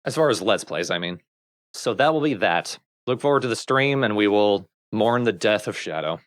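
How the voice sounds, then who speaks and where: Low-voiced man in casual gaming chat. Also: expressive, normal speed, dry recording, clean studio sound. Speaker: let's play host. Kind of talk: casual gaming commentary with friends.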